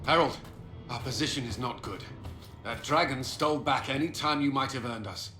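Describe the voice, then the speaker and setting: A man's voice: mid-range voice, normal speed, bright, forceful tone, soft background music, lightly acted. A man playing a grim, resolute commander in a fantasy game, speaking with grave authority. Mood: urgent. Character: grim, resolute military commander of a besieged force. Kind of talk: dramatic fantasy game acting. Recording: echoey, with faint ambient noise.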